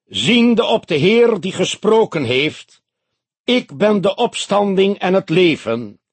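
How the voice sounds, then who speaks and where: A man voicing a pompous preacher in an audiobook, declaiming a funeral eulogy in a solemn, drawn-out, loudly raised voice. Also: high voice, big pitch swings, breathy edge, no room sound, voice pushed up, full character voice. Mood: solemn, exalted.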